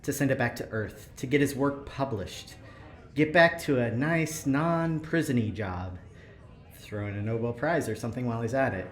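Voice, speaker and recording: A man's voice: medium pitch, normal speed, lightly acted. An actor playing an eager, earnest male prison engineer in an audio drama, rambling hesitantly with nervous laughter. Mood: explaining, resigned. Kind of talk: audio drama acting, naturalistic.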